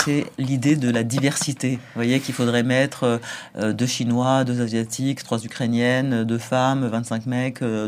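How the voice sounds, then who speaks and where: A man speaking as an interview guest on a talk show. His delivery is emphatic and forceful, and short laughs punctuate his points. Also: medium pitch, slight background noise. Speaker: guest, school figure.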